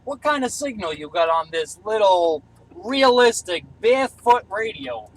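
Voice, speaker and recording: Guy doing cb radio check-ins, high sharp voice, bit of car noise. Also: animated, measured pace, poor audio. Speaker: CB radio hobbyist running a range test. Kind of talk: casual radio calls.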